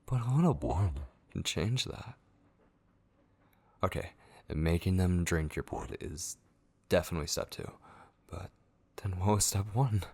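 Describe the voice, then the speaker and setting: A man playing an obsessive, possessive kidnapper in an ASMR horror roleplay, with a breathy, gravelly voice that slips into whispers and lingers tenderly and menacingly over words. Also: sits low, expressive, a little slow, pauses often, darkish tone, heavily acted. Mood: taunting, hushed. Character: obsessive, possessive male kidnapper, unhinged, taunting and devoted.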